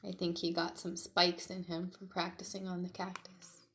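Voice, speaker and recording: A woman's voice: lowish voice, monotone, moderate pace, background noise. A woman teacher doing an interactive read-aloud for young children, speaking encouragingly to her listeners as friends. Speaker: teacher and storyteller.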